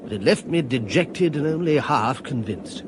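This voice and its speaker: A man's voice: mid-range voice, lively intonation, moderate pace, very noisy, lightly acted. An actor narrating a radio drama as a reflective middle-aged adventurer looking back on his life. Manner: wry, reflective.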